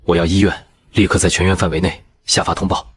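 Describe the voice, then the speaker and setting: A man narrating in the first person as a calm surgeon, in measured scripted narration. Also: sits low, a little hiss or hum, close to an everyday voice. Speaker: narrator and lead character, a surgeon.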